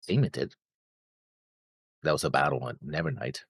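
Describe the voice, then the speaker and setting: A man with a low voice talks casually as a co-host, questioning and speculating as he goes. His intonation moves widely up and down. The recording is close and dry. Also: sing-song, moderate pace, gravelly, breathy edge, no echo. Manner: questioning, thinking aloud. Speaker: reaction show co-host.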